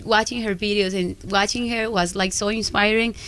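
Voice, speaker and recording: A woman's voice: mid-range voice, steady tone, normal speed, fairly bright tone, rough recording. A Colombian woman from Medellín, a native Spanish speaker, chatting as a guest in a radio interview with a strong accent, often searching for words. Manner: searching for words, many fillers and restarts. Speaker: guest singer.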